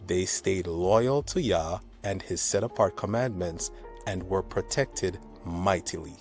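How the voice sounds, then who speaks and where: A man's voice: deep voice, a little slow, a little mellow, soft background music. A man from Jamaica giving earnest, admonishing religious commentary in the manner of a scripted teaching. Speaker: religious teacher and video host.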